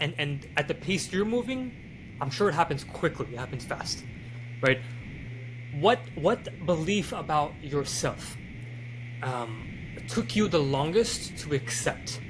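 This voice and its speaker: A man's voice: a little high, expressive, unhurried, background noise. A man hosting a podcast, asking reflective questions with frequent restarts and fillers. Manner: thoughtful, many restarts and fillers. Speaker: podcast host. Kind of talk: casual interview questions.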